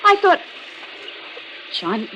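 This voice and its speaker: A woman's voice: drawn-out words, muffled recording. An actress playing a terrified wife pleading for her life, stammering, in a 1940s radio melodrama. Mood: shocked. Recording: noisy old radio recording.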